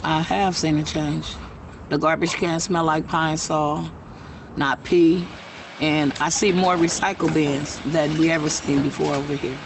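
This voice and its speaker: A man's voice: on the high side, steady tone, a little slow, vocal fry. A resident man speaks bluntly and colloquially in a casual interview. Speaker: neighborhood resident. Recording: background noise.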